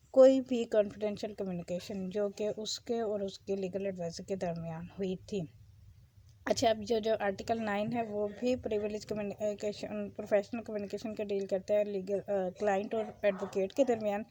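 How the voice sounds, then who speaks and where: A woman's voice: mid-range voice, mellow. A woman with a Pakistani accent giving an explanatory law lecture, as a teacher going through legal text.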